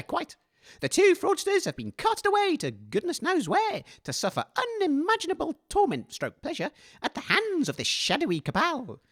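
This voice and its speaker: Jittery guy hosting comedy radio, high voice swooping all over, stammers. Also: wildly swooping pitch, moderate pace, a bit bright, dry recording, studio quality. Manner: nervous, flustered, stammering. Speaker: radio show host.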